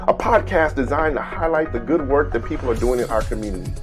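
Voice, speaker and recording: A man's voice: mid-range voice, fast talker, faint music behind. A man from Texas, a podcast host, giving an upbeat, motivational scripted introduction.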